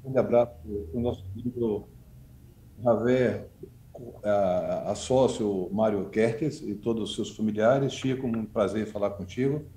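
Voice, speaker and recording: Middle-aged community leader, earnest and halting, mellow tone, echoey webcam audio. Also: male voice, fairly low pitch. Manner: earnest, halting with many fillers. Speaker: community association president. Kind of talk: radio interview over video call. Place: office with shelves. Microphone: video call webcam. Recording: echoey room over video call.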